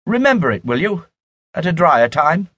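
A man plays a genteel lady in her late twenties from a classic novel. Her voice is raised in pitch, crisp in manner and coolly commanding, recorded dry. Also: big pitch swings, normal speed, a bit bright, no room sound, exaggerated voice. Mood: indifferent.

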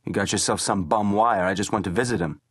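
A man's voice: on the low side, fast, slightly rough, dry recording, studio quality. A man voicing a cocky young male hitman who answers with wisecracks and defiance. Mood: wisecracking.

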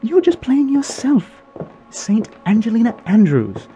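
A man's voice: very high voice, big pitch swings, unhurried, dark tone, faint music behind, full character voice. A man playing a pompous, indignant veteran soap opera actor in an audio drama, with a theatrical, grandiloquent manner.

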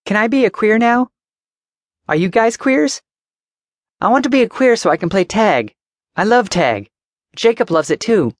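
A bright, sing-song little boy, put on by a man narrating a story, chirpy and polite with a strange, eerie innocence. His high voice swings widely up and down, and it is recorded close and dry. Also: lively intonation, moderate pace, long pauses, no room sound. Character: cheerful eerie little boy with an imaginary friend.

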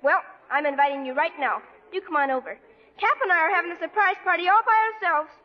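A woman's voice: very high voice, fast. A young actress playing a cheerful, plucky, chatty little girl in a radio drama. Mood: brisk, friendly. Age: child performer. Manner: earnest, chatty, bossy little helper. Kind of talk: 1940s radio drama acting. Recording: muffled vintage radio broadcast.

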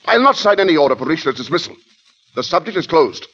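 A petulant, imperious king, lively and husky. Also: a little high, quick pace, full character voice. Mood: defiant anger. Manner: haughty, theatrical. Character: petulant, imperious, easily swayed French king. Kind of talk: 1930s-40s radio drama acting. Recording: dull vintage radio recording.

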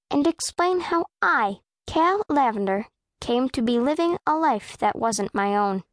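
Children's audiobook narrator: proud, composed girl of eleven, high voice, precise delivery, dry studio sound. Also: no echo. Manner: precise, composed, matter-of-fact. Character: self-possessed, proud, scowling eleven-year-old girl narrator. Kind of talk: first-person audiobook narration of children's fiction.

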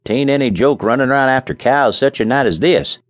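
A man's voice: mid-range voice, a little fast, no echo, voice pushed up, close to an everyday voice. A man voicing a blunt, grumbling rural farmer with folksy speech.

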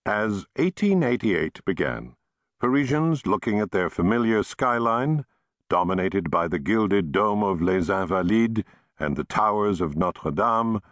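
A man narrating a history audiobook in a measured, expressive storytelling manner, recorded in a studio. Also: on the low side, dry recording.

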